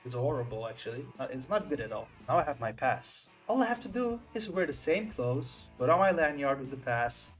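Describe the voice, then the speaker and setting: Fast-talking young man telling a story. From Netherlands. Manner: excitable, acts out friends' lines with swings in pitch. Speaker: vlogger. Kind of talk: animated storytime.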